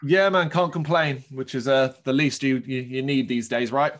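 Man, podcast host, medium pitch, music interview style. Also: fairly even intonation, moderate pace. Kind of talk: interview chat and narrated introduction.

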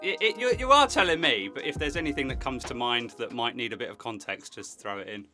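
Bright-voiced man, dry interviewer. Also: mid-range voice, animated, fast talker, soft background music. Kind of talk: casual chat.

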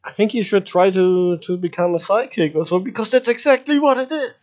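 A man's voice: sits high, a little fast, darkish tone, highs cut off. A man hosting a podcast interview in a casual, chatty style. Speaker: podcast host. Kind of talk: casual chat.

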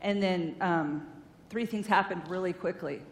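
A woman giving an informal, earnest sermon-style talk at a church, chatty and full of fillers, on a stage microphone. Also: a little slow. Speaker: guest speaker at a church, counselor. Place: large hall in a church. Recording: echoey hall, faint rumble.

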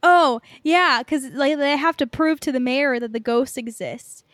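High-pitched young woman, rambling casually. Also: unhurried, a bit bright, dry recording, clean studio sound. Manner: rambling, peppered with "like". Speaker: podcast co-host. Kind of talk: casual film review chat.

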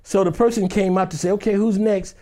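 A man's voice: high-pitched, fairly even intonation, brisk, mellow. A man being interviewed, telling a story in a loose, conversational way and acting out the other people in it. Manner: loose conversational delivery with many "you know" fillers. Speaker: interview guest. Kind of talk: animated anecdotal storytelling.

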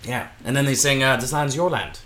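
A man's voice: mid-range voice, fairly quick, echoey room, slight background noise. A man co-hosting a podcast, chatting casually about a movie and telling jokes with lots of fillers. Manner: animated, loose joke-telling with fillers. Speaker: podcast co-host.

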